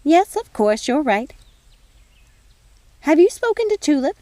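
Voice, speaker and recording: A prim, easily vexed fairy godmother from a storybook. The voice sits well above an ordinary speaking pitch and squeals as it chides. It is effusive and bossy, with very little room sound around it. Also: big pitch swings, measured pace, dark tone, no room sound. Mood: fussy, disapproving. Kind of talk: audiobook character voice.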